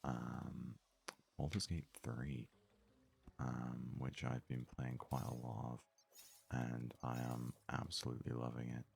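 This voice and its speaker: Very deep, gravelly man doing soft ASMR. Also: monotone, slight background noise. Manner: soft-spoken, low-key, unhurried musing. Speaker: gaming video host. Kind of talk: ASMR let's play commentary.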